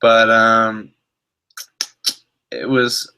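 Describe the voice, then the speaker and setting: A man's voice: on the low side, little pitch movement, drawn-out words, sharp tone, smooth. Stuffed-up nasal man coaching. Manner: repetitive, restarting, pep-talk tone. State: stuffed-up nose. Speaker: online marketing coach. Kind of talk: motivational webinar talk.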